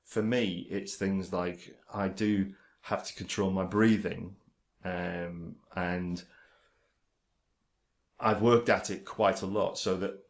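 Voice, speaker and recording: Male, low-pitched; halting delivery with stammer control, frequent fillers and restarts. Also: fairly even intonation. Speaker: vlogger talking about his stammer. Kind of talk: casual direct-to-camera talk.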